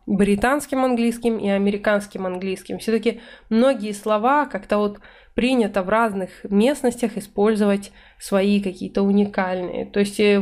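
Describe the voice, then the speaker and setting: A woman's voice: mid-range voice, darkish tone. Woman teacher explaining vocabulary. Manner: explains in Russian, reads English phrases slowly. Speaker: English teacher. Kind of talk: online vocabulary lesson. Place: indoor room, webcam in corner of slides.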